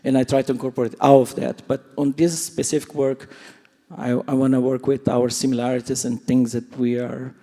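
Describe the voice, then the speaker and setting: A man in his sixties whose first language is Portuguese talks after a performance, halting and searching for words but openly heartfelt. His voice is dark and mellow. A long reverb from a theatre hall hangs on every word. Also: medium pitch, flat delivery. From Brazil. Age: 60s. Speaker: choreographer and dancer. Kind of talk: post-show talkback.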